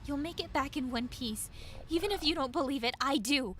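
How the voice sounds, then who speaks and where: A woman's voice: high-pitched, fairly quick, a bit bright. A woman playing an earnest, hopeful, caring young woman with an emotional delivery in a horror visual novel. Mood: pleading, insistent.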